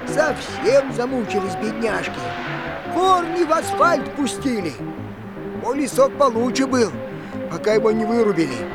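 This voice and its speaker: Cast: a boastful, grouchy old forest spirit for a storybook play, voiced by a woman; high and lively. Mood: fretting. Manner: fussy, grumbling, boastful. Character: grumpy old male forest spirit, small. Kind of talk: musical fairy-tale audio play.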